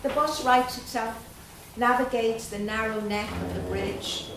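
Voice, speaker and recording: A middle-aged Irish woman from Dublin reading her poems slowly and with pauses at a literary evening, chatting hesitantly between them. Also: on the high side, creaky, noisy background. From Dublin, Ireland. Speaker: guest poet. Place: event room at a literary gathering. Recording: very echoey room, voice far off.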